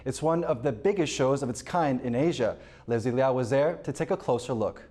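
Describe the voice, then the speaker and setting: A man anchoring a television newscast, reading the headlines in a measured, even way from a studio. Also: mid-range voice. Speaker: news anchor. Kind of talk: scripted news reading.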